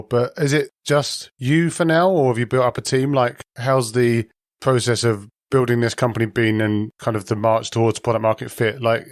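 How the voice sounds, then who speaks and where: A man's voice: medium pitch, dry recording. Guy hosting a podcast, chatty loose questions, medium voice, dry close audio. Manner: conversational, rambling questions. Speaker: podcast host. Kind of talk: podcast interview.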